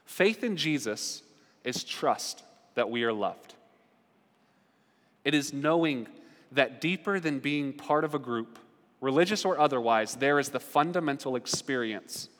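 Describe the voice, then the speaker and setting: A man's voice: medium pitch, fairly bright tone. A man preaching a sermon as a pastor, earnest and building in force at key points. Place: church sanctuary. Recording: long reverb of a large hall.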